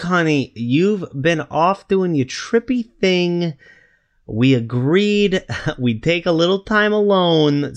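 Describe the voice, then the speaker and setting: A man playing a stressed, defensive boyfriend in an audio drama, stammering and pleading in casual, naturalistic speech. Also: a little high.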